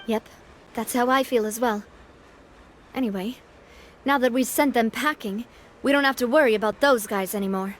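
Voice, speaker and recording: A woman's voice: a little high, a little hiss or hum, lightly acted. A woman playing a cheerful, kindly young woman who leads her people, friendly and teasing, in a video game. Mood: businesslike.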